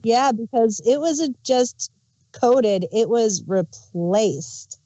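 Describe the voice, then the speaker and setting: Female podcast co-host, high-ish voice, slow and easy, amused and laughing. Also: animated, warm tone, dry recording, highs cut off. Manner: unhurried, amused, laughs often. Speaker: podcast co-host reviewing an audio drama. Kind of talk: casual review chat.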